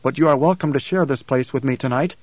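A man voicing a small boy prophet in an audiobook, ancient beyond his years, speaking with calm, formal assurance. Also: medium pitch, fast talker, no echo, highs cut off, close to an everyday voice. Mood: calm, commanding.

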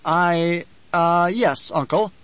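A man's voice: on the high side, takes it slowly, fairly bright tone, no room sound, voice pushed up. A man voicing a young, scheming heir in an audiobook, deferential and uneasy beneath courtly composure. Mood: anxious. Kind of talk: audiobook character voice. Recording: slightly dull, lo-fi audio.

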